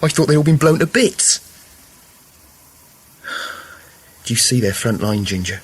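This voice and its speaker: A man's voice: mid-range voice, expressive, takes it slowly, slightly rough. A young Great War soldier in a radio drama. He is plain-spoken and reflective, haunted by battle yet wryly joking. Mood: shaken, wistful. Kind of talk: naturalistic radio play acting.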